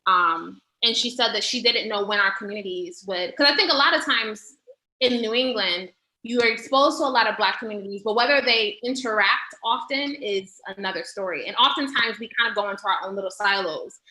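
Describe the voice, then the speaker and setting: Woman on a panel, thoughtful, keeps restarting her words, bright tone, room echo. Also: mid-range voice, brisk. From United States. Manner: reflective, repeats words when searching for them. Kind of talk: panel discussion.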